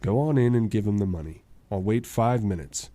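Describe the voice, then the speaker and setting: A man playing a wry, self-sacrificing lawyer with a measured, formal manner in a radio drama. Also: fairly low pitch, expressive, mellow, no room sound, lightly acted. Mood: wry resignation. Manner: measured, mock-courtroom formality. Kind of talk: old-time radio drama acting.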